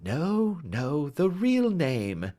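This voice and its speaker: A man voicing a brisk, confident gentleman detective in an audiobook story, suave, wry and commanding. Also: fairly high pitch, big pitch swings, pitched above the performer's own voice. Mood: suave, polite.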